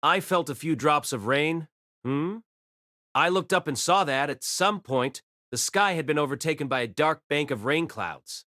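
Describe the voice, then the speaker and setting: A dry, close recording of a man as a young mage telling his own story. His voice sits fairly high, with a wry, conversational way of explaining things in an audiobook drama. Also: animated, normal speed, pushed and bright, no room sound. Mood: wry narration. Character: young boy mage narrating his reincarnated life, wry and self-deprecating. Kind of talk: first-person audiobook narration with acted dialogue.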